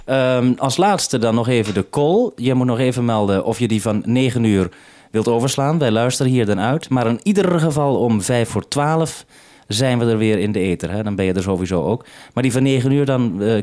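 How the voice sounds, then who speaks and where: A man's voice: mid-range voice, fairly quick, a little mellow. A man talking unscripted as a radio host over a two-way link, businesslike and explanatory with some hesitation.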